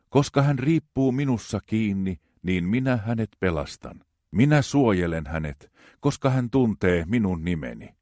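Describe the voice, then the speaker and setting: Very deep-voiced man reading psalms. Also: darkish tone, dry recording, studio quality. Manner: slow, measured, reverent reading. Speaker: scripture reader.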